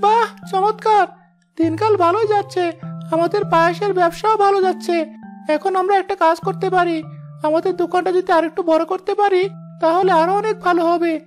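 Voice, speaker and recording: A woman voicing an eager, caring young grandchild in an animated village moral story, earnest and reassuring. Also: extremely high pitch, fairly quick, faint music behind. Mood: cheerful.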